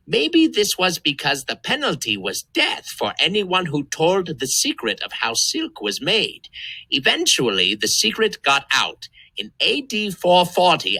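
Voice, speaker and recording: A man's voice: high-pitched, bright, forceful tone, no room sound, low-quality recording. A man reading an educational text aloud as a scripted narrator.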